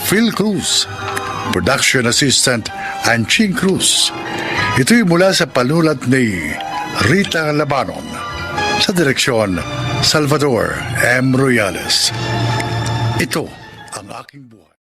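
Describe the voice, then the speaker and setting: A man's voice: mid-range voice, big pitch swings, slow, long pauses. A man with a Filipino accent hosting and narrating a radio drama in a formal, dramatic announcer style. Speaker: drama narrator and program host. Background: music.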